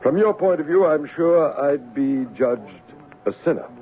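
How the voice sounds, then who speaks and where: An actor playing a dour, commanding and curt master of a great house in a 1970s radio drama. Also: male voice, on the high side, expressive, unhurried. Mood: brooding, sardonic. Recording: tinny band-limited old radio recording.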